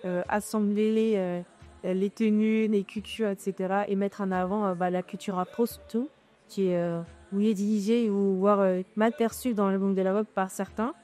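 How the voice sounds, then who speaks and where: Shy young woman with a mellow voice. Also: medium pitch, steady tone, normal speed, faint music behind. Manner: shy, hesitant, many fillers. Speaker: student model. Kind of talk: interview answers.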